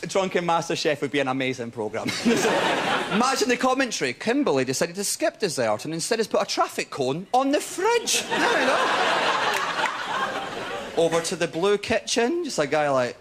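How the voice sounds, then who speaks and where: A Scottish man in his twenties doing a stand-up set on stage, telling stories quickly and with punchlines. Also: fairly high pitch. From Scotland. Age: 20s. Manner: quick, animated storytelling with punchlines. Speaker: stand-up comedian. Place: TV studio stage before a live audience. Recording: audience laughter and crowd noise.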